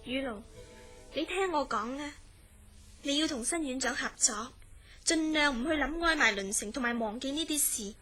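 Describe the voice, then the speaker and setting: A woman's voice: high voice, normal speed, pushed and bright, faint music behind. A woman playing a concerned young visitor in a horror radio drama, speaking earnestly and theatrically. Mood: anxious pity.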